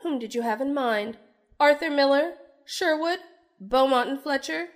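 A woman's voice: high-pitched, moderate pace, close to an everyday voice. A woman playing a proud, jealous, middle-aged stage actress with biting sarcasm in a theatrical radio drama style. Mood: indignant. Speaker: voice actor in an audio drama. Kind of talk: theatrical old-fashioned radio drama acting.

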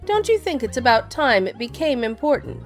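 A woman's voice: on the high side, big pitch swings, normal speed, faint music behind. A woman playing a proud, sardonic forty-year-old stage actress in an audio drama, with theatrical delivery. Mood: bitter, wounded.

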